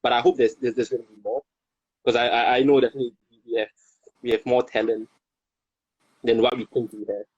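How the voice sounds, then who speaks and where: A man from Singapore with a Singaporean English accent, chatting as a guest, halting and repeating words. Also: mid-range voice, flat delivery, a little mellow, slightly rough, dry recording, lo-fi sound. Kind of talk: casual chat.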